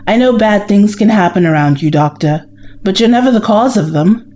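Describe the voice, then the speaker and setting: A woman playing a loyal, level-headed companion in an audio drama, gentle and matter-of-fact. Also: mid-range voice, expressive, normal speed, slight background noise, close to an everyday voice. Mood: gentle concern.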